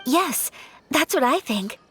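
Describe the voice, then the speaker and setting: High-voiced, refined young noblewoman. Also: animated, slight background noise, full character voice. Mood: thoughtful. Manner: polite, formal, measured, softly earnest. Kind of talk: anime-style video game voice acting.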